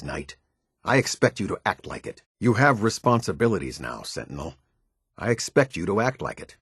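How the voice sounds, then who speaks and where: An American actor playing a stern, demanding middle-aged military officer in a video game, with clipped, formal authority and a husky voice. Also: male voice, on the low side, no room sound, studio quality. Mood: stern, impatient. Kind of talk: scripted game dialogue, military commander.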